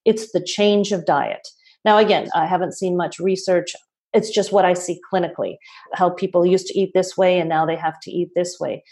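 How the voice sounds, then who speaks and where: A woman from Toronto, a health practitioner, gives measured explanations and is careful to call her ideas observations. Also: on the low side, fairly even intonation, a little mellow. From Toronto, Canada. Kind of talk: explanatory interview answers.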